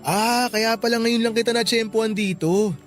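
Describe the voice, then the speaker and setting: Casting: actor for easygoing young male coworker, Filipino accent, lightish pitch, radio drama, music underneath. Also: fairly even intonation, moderate pace, close to an everyday voice. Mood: friendly, teasing. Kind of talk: Tagalog radio drama acting. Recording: music bed behind the voice.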